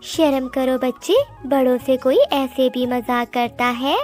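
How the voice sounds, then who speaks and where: Cartoon parrot wife acted by a woman: very high, unhurried, pleading and worried. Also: level tone, darkish tone, soft background music. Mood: reproachful. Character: anxious, peace-keeping young wife parrot.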